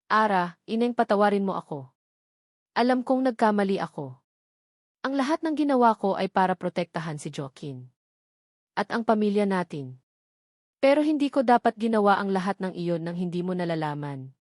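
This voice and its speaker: A woman with a Filipino accent voicing a gentle, remorseful elderly mother in a romance story. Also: medium pitch, lively intonation, moderate pace, pauses often, dry recording, clean studio sound, natural voice. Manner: gentle, apologetic.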